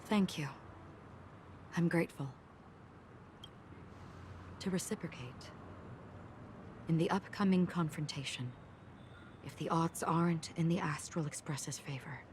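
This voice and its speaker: A grave, courteous woman from a game cutscene. Her voice sits low and turns softly breathy as she talks in a measured, reserved way. A faint noise lies behind her. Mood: composed, grave. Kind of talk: scripted dramatic game cutscene acting.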